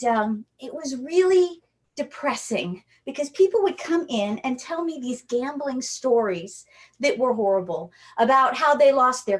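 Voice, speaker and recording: High-voiced playful woman magician. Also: a bit bright. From Hawaii. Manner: playful, jokey stage patter. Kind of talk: online magic show with rhyming story patter.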